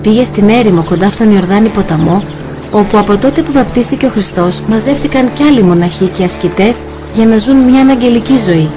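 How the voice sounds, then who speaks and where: Female storybook narrator: mid-pitched, scripted children's tale delivery, clear guitar music underneath. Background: plucked guitar music.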